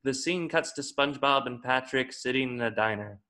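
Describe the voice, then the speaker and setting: A man's voice: mid-range voice, fairly quick, bright, forceful tone. A man reading scripted stage directions as the narrator of a table read.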